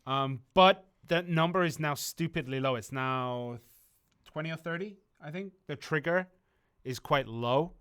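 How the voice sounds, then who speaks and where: Man, livestream host, casual and rambling, bright smooth voice. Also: on the high side, a little slow. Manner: relaxed, rambling explanations with many ums and restarts. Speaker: community manager on a game stream. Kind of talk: casual livestream chat answering viewer questions.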